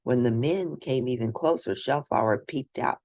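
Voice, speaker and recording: A woman reading a children's story aloud and discussing it in an expressive, teacherly manner. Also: extremely low pitch, moderate pace. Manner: expressive, teacherly, asks questions of listeners. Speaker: storybook reader.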